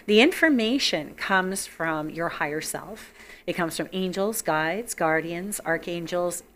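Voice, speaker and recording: A woman hosting a psychic call-in show, her voice husky from being sick, chatty and enthusiastic, repeating words for emphasis. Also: on the low side, measured pace, fairly bright tone, slight background noise. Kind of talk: casual talk-show monologue.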